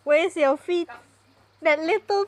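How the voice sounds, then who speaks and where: Slow-paced woman streaming a game. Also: very high-pitched. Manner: mixes English with Malay. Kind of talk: casual livestream gameplay commentary. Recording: loud game music under voice. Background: game music.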